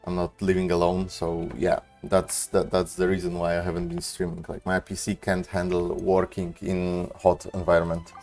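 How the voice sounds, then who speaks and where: A man's voice: low-pitched, steady tone, normal speed, vocal fry, few pauses, a little mellow, faint music behind. A young man streaming a video game, chatting casually in a laid-back, joking way. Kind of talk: casual livestream chat and game reactions.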